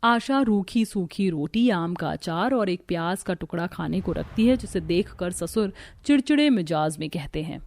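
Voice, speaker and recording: A woman's voice: fairly low pitch, lively intonation, moderate pace, few pauses, a little mellow. A woman narrating a scripted cartoon village family story.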